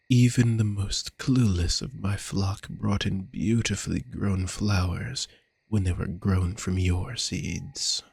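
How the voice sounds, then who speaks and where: Casting: male actor as possessive romantic vampire, low gravelly voice, courtly and menacing, intimate audio drama. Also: no echo, full character voice. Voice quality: husky, breathy, gravelly. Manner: slow, florid, archaic courtly speech. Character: obsessive lovestruck male vampire fortune teller, courtly and menacing. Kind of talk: scripted romantic audio roleplay, intimate monologue.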